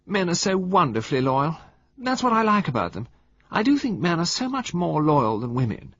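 Breezy self-absorbed society woman read by a man, sweet drawl, pitched well up and swooping, dull dry audiobook sound. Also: very animated, moderate pace, a bit bright, no echo, full character voice. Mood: gushing.

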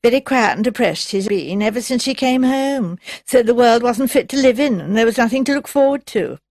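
A woman's voice: on the high side, lively intonation, fairly quick, darkish tone, no room sound, studio quality, voice pushed up. A woman voicing a mean, flashy wife with a thin, reedy voice, plaintive and colloquial, in an audiobook. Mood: mournful. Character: mean, flashy wife putting on mournful sentimentality. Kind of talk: audiobook character voice.

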